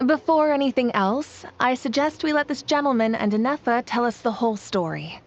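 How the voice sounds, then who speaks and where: A woman playing a steady, dignified female leader in a game, speaking in a measured, assured way. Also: on the high side, slight background noise, lightly acted. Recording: slightly muffled game audio with music.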